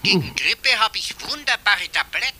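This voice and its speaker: An actor playing a pushy, overhelpful, chatty customer through a telephone filter in a radio play. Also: male voice, high voice, very animated, normal speed, pushed and bright, exaggerated voice. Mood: eager, insistent. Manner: insistent, chatty, emphatic. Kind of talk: 1970s German children's radio play.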